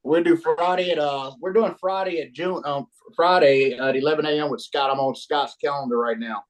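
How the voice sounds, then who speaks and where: A male insurance agent talking on a team call, brisk and folksy with quick sign-offs. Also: on the high side, steady tone. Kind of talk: casual phone and team-call talk.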